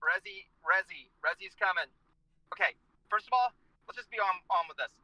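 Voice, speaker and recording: A man's voice: high voice, expressive, moderate pace, sharp tone, no echo, low-quality recording. A man hosting a casual talk show from inside his car, joking and rambling with a groggy voice after a day of work. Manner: joking, chatty, self-interrupting.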